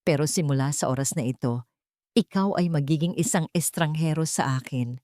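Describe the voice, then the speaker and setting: A woman narrator acts out a wounded but resolute young heiress in an audio love drama. She speaks solemnly and coldly, in a slightly low female pitch on a dry recording. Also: moderate pace, mellow, dry recording, natural voice.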